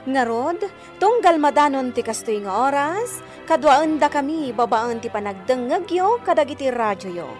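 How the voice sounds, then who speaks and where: Woman radio host, lively intonation, fairly high voice, scripted introduction, faint music. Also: moderate pace. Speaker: radio drama program host.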